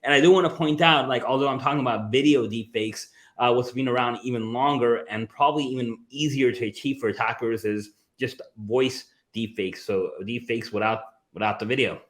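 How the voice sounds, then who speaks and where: A man's voice: mid-range voice, brisk, hardly any pauses, a bit bright. A man chatting casually about security as a co-host, full of "you know" and "like" fillers. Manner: filler-heavy, says "you know" and "like" a lot. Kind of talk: casual tech chat.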